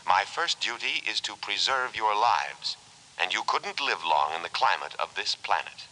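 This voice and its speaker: An actor playing an officious, menacingly solicitous robot in a 1950s radio drama, speaking formally and without emotion. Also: male voice, lowish voice, expressive, moderate pace, bright, forceful tone, poor audio. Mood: officious, unruffled. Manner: formal, precise, unemotional delivery. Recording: hissy 1950s radio recording.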